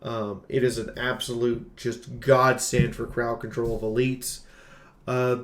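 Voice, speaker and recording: A man reviews a video game in a casual, streamer style. His delivery is unhurried, with emphatic, exclamatory turns and joking asides. His mid-pitched voice sits in a roomy, echoey space. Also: flat delivery. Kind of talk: casual scripted game review with ad-libbed commentary.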